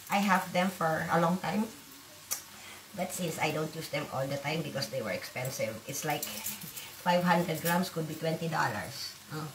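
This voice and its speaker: A woman's voice: on the low side, background noise. A woman from the Philippines with a Filipino accent, a native Waray speaker, rambling bluntly and swearing freely while cooking in a casual home vlog. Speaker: vlogger. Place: home kitchen. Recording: echoey room from far off, loud sizzling.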